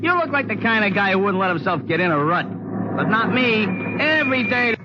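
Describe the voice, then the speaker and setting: A man's voice: high voice, sing-song, a little fast. An American man acting in a 1940s radio drama as a fed-up, talkative, slangy working-class taxi driver. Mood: grumbling, rambling. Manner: wisecracking, rambling, slangy. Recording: muffled, noisy 1940s radio recording.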